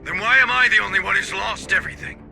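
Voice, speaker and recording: An actor playing a tough, suspicious marine squad leader who speaks in a curt, commanding way in a video game. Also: male voice, high-pitched, steady tone, quick pace, vocal fry, pushed and bright, faint music behind, full character voice. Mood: angry, bitter. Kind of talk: video game cutscene acting.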